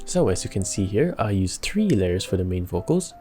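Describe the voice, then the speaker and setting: A young man giving a casual music production tutorial from a home studio, chatty and relaxed, laughing between steps and using plenty of fillers. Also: low voice, normal speed. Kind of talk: casual screen-recorded tutorial.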